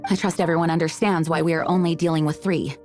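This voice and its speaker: A woman's voice: low voice, steady tone, fast talker, music in the background, close to an everyday voice. A woman playing a dutiful, earnest knight commander in a video game, formal and resolute. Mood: urgent.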